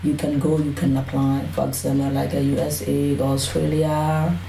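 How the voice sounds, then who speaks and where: A low, mellow female voice with a Burmese accent, a native Karen speaker. She tells her personal story in an interview, plainly and a little hesitantly, often adding "you know". The recording is roomy and echoey, picked up from a distance. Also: flat delivery, normal speed, creaky, soft background music. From Burma, Karen community. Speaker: interview guest. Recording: roomy echo, distant mic, faint noise.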